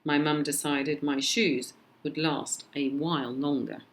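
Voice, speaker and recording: British woman reading a children's story, low-pitched. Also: fairly even intonation, a little slow. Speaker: storybook reader. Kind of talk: expressive children's read-aloud narration.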